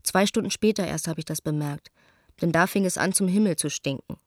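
A woman's voice: lowish voice, brisk, no echo, studio quality, natural voice. An actress playing a proud, lonely young stage actress reading her reflective, confiding letter in a radio play. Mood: subdued. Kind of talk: radio play, letter read as intimate monologue.

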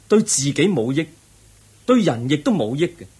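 A man acting in a Cantonese radio drama as a young prince seeking truth, earnest and measured, with a Hong Kong accent. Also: on the high side, very animated, crowd noise. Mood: earnest, firm. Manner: measured, earnest, declamatory, preaching.

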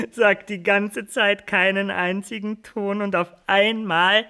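Teasing aristocrat in a radio play, sharp bright voice, really drawn out, theatrical. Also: male voice, high-pitched, clear, resonant tone, full character voice. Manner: theatrical, breaking into laughter. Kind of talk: German radio drama acting.

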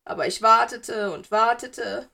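A woman's voice: on the high side, a little slow, creaky, bright, forceful tone, voice pushed up, heavily acted. A woman voicing a whiny, self-pitying, lovesick teenage girl ghost in an audiobook, melodramatic and wheedling. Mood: plaintive. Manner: sobbing, wheedling, melodramatic complaining. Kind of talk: audiobook character voice.

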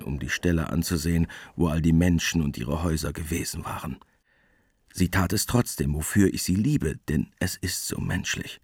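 A man playing a war veteran novelist, narrating his past in a measured, wry, understated way in a radio drama. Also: deep voice, darkish tone, slightly rough, no echo, full character voice. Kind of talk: literary first-person radio drama narration.

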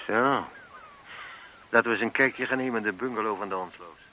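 An actor playing a stern, probing police inspector in a radio play, speaking with insistent authority. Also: male voice, on the low side, a little fast, pushed and bright. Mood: intent, musing. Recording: dull, noisy old radio recording.

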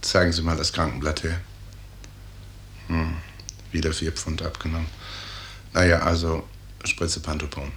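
An actor playing a terse, sober senior medical professor in a 1950s radio drama, speaking in clipped phrases. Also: male voice, a bass voice, a little slow, long pauses. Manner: clipped, monotone.

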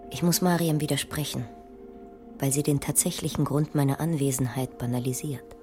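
An actress playing a proud, contrary woman mathematician of the 19th century, assertive and declamatory in a radio play. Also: a bass voice.

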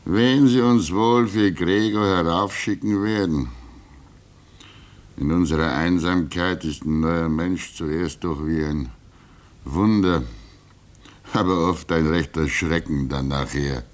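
A man acting in a 1950s radio drama as an older, fatherly polar station worker who talks in a gentle, chuckling, soothing way. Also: low voice, takes it slowly.

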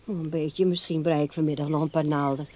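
A woman's voice: sits low, steady tone, quick pace, dark tone. An actress in a radio play as a homely, fussing woman who chats and placates as she looks after her household. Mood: placating.

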